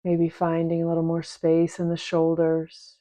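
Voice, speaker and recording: A woman teaching a guided meditation in a gentle, unhurried manner, leaving long pauses between short phrases. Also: deep voice, monotone. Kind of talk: guided meditation, soft instruction.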